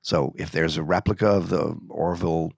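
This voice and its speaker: A man from Maine with a very low, gravelly voice, talking on a podcast. He muses aloud and puts on lively quoted questions as he makes his points. The sound is close and dry. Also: moderate pace, dry recording. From Maine, USA. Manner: reflective, speaks in quoted questions with big pitch jumps. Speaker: podcast guest. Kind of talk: casual podcast chat. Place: podcast studio.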